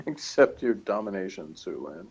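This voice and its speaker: A man's voice: deep voice, big pitch swings, unhurried, darkish tone, husky. A man reviewing a TV episode in casual, rambling, jokey chat, often chuckling. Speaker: reviewer on a TV show review channel.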